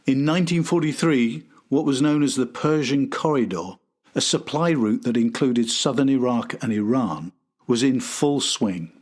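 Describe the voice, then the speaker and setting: A man hosting and narrating a history documentary from a script. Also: medium pitch. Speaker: host and narrator.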